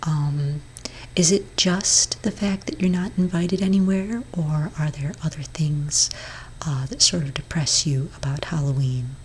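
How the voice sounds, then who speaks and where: Woman, low-pitched, breathy near-whisper; gentle therapist roleplay for ASMR, reassuring and hesitant, faint ambience behind. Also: fairly even intonation, a little slow, a little hiss or hum, low-quality recording. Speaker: ASMR performer role-playing a therapist. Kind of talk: soft-spoken ASMR roleplay.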